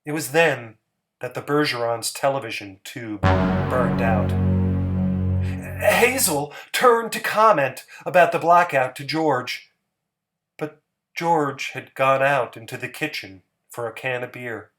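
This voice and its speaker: A man narrates a story in a theatrical, scripted style. His pace is very measured, with emphatic phrasing and a mid-range pitch. Music plays softly under the voice. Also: expressive. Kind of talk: dramatic scripted story reading.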